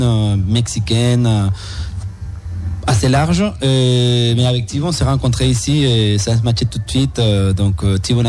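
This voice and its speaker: Mellow-voiced Venezuelan musician, faint music. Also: male voice, mid-range voice. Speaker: musician guest. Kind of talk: radio interview.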